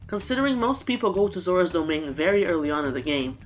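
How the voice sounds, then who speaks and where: A woman's voice: on the low side, big pitch swings, fast talker, faint music behind. A woman with a nasal, congested voice from a cold, giving an earnest, scripted game ranking. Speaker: gaming YouTuber.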